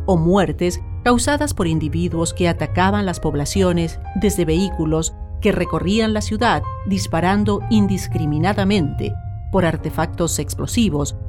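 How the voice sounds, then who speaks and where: Low-pitched Chilean woman narrating over music. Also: a little mellow. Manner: solemn, formal. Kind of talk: scripted documentary narration for radio drama.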